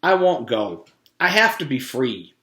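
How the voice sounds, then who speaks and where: A man's voice: mid-range voice, big pitch swings, unhurried, pushed and bright, pitched above the performer's own voice. Man reading as an earnest, plaintive schoolboy, roomy sound. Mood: frustrated, plaintive. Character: earnest schoolboy longing to be free.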